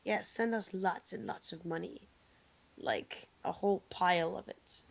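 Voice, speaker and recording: Hoarse woman joking around on a podcast, dull lo-fi call audio. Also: lowish voice. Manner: joking, quick banter. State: hoarse from laryngitis. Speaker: podcast co-host. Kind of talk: casual podcast chat. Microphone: remote call microphone.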